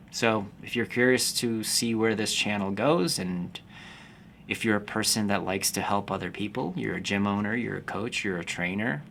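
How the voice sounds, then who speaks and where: A man in his forties, born in the Philippines, a fitness business coach talking to camera in a calm, slow, unhurried way. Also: lowish voice, gravelly, a little hiss or hum. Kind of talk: casual talking-to-camera vlog.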